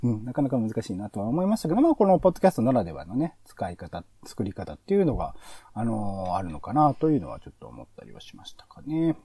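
A man's voice: fairly low pitch, huge pitch swings, fast, dark tone, husky, no echo. A man hosting a podcast, giving conversational review commentary in an analytical, explanatory way. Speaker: podcast host.

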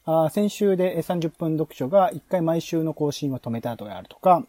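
A man hosting a podcast, talking through plans in a halting, explanatory way with frequent asides. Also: on the high side, expressive, mellow, no echo. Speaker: podcast host. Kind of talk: planning discussion on a podcast.

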